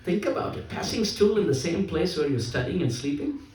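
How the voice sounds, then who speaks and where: A man's voice: a little high, expressive, brisk, a little mellow. A man giving a sermon, emphatic and repeating his key phrases. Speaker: spiritual speaker. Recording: echoey hall, voice from a distance.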